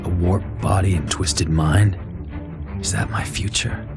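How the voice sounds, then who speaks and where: An actor playing a brooding young antihero in a game, gruff and terse, narrating in a hard-boiled, cynical way. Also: male voice, deep voice, steady tone, measured pace. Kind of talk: scripted game narration and dialogue. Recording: loud game music behind the voice.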